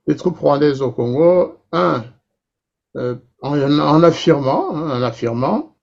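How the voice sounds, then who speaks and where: Man, interviewer, gravelly voice, halting delivery, muffled recording. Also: a little high, a little mellow. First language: not English. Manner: hesitant, many "euh" fillers, probing. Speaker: interviewer, talk show host. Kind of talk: political interview questions.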